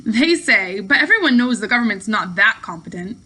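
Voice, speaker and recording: A woman's voice: mid-range voice, lively intonation, fairly quick, pushed and bright, husky. A woman voicing a bold, breezy, sarcastic young teen girl in a storybook read-aloud. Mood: smug, mischievous. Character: bold, flippant young teen girl, mischievous hidden child.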